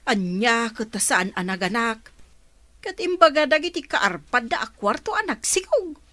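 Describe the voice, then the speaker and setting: A woman's voice: on the high side, sing-song, normal speed, bright, forceful tone. A woman acting an inquisitive, gossiping friend in an Ilocano radio drama. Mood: puzzled.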